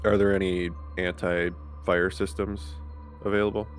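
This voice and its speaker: A man's voice: low voice, flat delivery, unhurried, soft background music. A man chatting casually as a player in a tabletop game, tentative and questioning.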